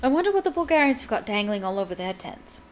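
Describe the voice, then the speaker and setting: A sensible teenage schoolgirl , read by a woman, speaks briskly and plainly. She is a storybook character, matter-of-fact in tone. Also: a little high, big pitch swings, a little hiss or hum. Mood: curious.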